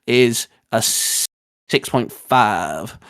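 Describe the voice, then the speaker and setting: A man's voice: mid-range voice, animated, extremely slow, gravelly, heavy background noise. A man hosting a casual review chat, talking like an opinionated, emphatic fan. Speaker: host.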